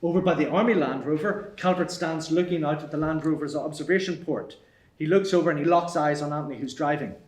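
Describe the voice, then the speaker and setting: A man's voice: on the high side, brisk, a little mellow. A man reading stage directions as scripted narration at a screenplay table read. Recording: echoey room.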